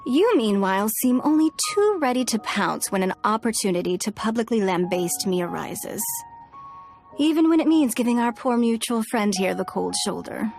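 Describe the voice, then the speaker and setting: A woman's voice: on the high side, very animated, normal speed, gravelly, darkish tone, faint music behind. Poised, haughty noblewoman. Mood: coolly teasing. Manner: formal, composed, haughty. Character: poised, aristocratic female ruler, coolly teasing. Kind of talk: video game dub.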